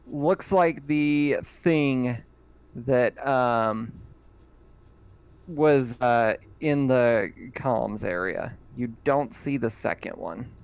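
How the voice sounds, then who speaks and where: A man running a tabletop horror game as game master, describing the scene in a halting way with frequent ums. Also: medium pitch, vocal fry, clear, resonant tone, no echo. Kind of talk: narrating a tabletop game over voice chat.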